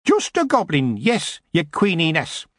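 A cocky little goblin man with mangled grammar who needles royalty without flinching, as a male narrator puts him on. His voice sits raised and bouncy, with a husky grain. The sound is dry and close. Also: fairly high pitch, wildly swooping pitch, unhurried, a bit bright, no echo, voice pushed up, full character voice. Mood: defiant. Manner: broken grammar, cocky, mocking. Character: cheeky stocky male goblin, defiant and proud.

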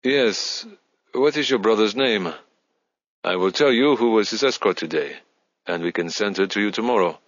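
A man voicing a smooth, courteous but wary Spanish male boss of an escort agency, with formal salesman's phrasing. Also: lowish voice, normal speed, dry recording, highs cut off, lightly acted. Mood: slick, obliging.

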